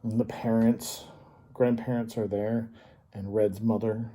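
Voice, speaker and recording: A man reading a picture book aloud to children as a story time host, pausing to explain and ask simple questions in a teacherly way. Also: on the low side, little pitch movement, dark tone, slight background noise.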